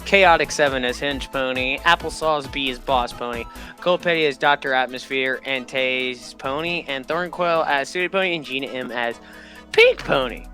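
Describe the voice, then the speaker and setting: A man giving casual, excitable reaction commentary as a host, often bursting into exclamations. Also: medium pitch, normal speed, faint music behind.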